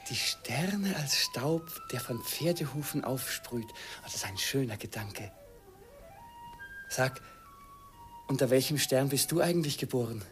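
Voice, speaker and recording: Actor as a nineteen-year-old student, earnest, slow-spoken, pedantic explainer, radio play. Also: male voice, medium pitch, lively intonation, music in the background, natural voice. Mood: explaining. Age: young adult.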